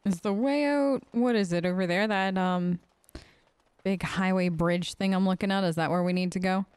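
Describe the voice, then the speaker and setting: A woman's voice: mid-range voice, moderate pace, darkish tone, smooth, no room sound. A woman hosting a let's play, giving casual, chatty role-play commentary and musing in character.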